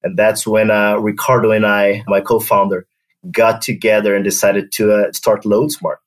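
A man from Brazil with a Brazilian accent, speaking as an interview guest in a measured way, often adding "uh" and "right?". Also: on the low side, fairly even intonation, rough recording. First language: Portuguese. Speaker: guest, company co-founder. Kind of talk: conversational interview.

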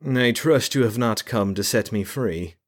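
A man's voice: medium pitch, measured pace, pitched above the performer's own voice, close to an everyday voice. A man voicing an arrogant male mage who speaks with haughty condescension. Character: arrogant, disdainful male mage, aloof prisoner.

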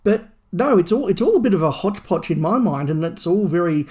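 A man's voice: sits high, animated, brisk, a little mellow. A man co-hosting a podcast in a casual fan discussion, rambling and thinking aloud. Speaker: podcast co-host.

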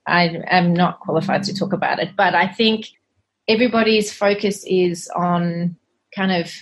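A woman's voice: fairly low pitch, moderate pace. A middle-aged woman with a South African accent, chatting earnestly and a little hesitantly as a podcast guest. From Zimbabwe and South Africa. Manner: earnest, hesitant, self-deprecating, laughs softly. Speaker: cleaning company cofounder, guest. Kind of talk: casual interview chat.

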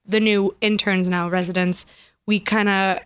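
A woman who grew up speaking French, yet talks with no accent at all, answering questions in a friendly, modest way with plenty of fillers. Her voice sits at a middling pitch and sounds dry and close. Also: little pitch movement, a little slow, a bit bright, clear, resonant tone, no room sound. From France. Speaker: actress guest. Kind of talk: casual interview. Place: radio studio.

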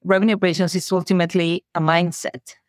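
A woman's voice: fairly low pitch, flat delivery, fast talker, no room sound, studio quality. A woman from Mexico with a Mexican accent, a native Spanish speaker, telling her career story as a podcast guest in an earnest, reflective way. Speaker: guest, revenue operations director. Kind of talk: interview conversation.

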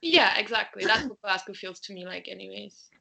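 Bright-voiced young Austrian woman chatting. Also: mid-range voice, a little fast, creaky, breathy edge, poor audio. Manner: chatty, laughs often, thinks aloud with ums. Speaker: podcast guest, travel blogger. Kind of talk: casual interview chat.

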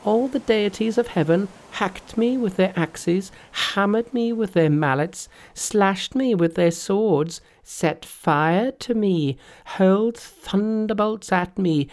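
A narrator voicing a boastful, fearless male monkey king in a storybook reading, loud and mocking. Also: medium pitch, slow pace, hardly any pauses, pitched above the performer's own voice.

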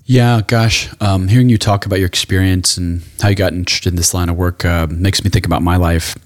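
A man's voice: low voice, fairly even intonation, a little fast, no room sound. A man hosting an interview in a reflective, conversational style, affirming and encouraging as he asks questions. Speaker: interviewer, podcast host.

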